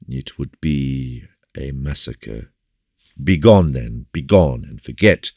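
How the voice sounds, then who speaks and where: Man acting very deep, solemn bishop, commanding. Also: darkish tone, no room sound, clean studio sound, exaggerated voice. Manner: grave, measured rhetoric with repeated appeals. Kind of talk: audiobook character dialogue.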